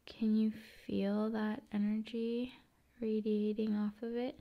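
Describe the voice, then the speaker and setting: Female ASMR host: unhurried, soothing, half-whispered delivery, flat intonation. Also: mid-range voice, dull audio. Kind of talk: ASMR, soft-spoken and whispered rambling.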